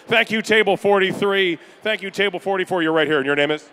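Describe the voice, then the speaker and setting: A man calling pledges at a charity gala in a banquet room, energetic and upbeat with rapid-fire banter to the crowd. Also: high voice. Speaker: charity auctioneer. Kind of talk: live fundraising pledge calling.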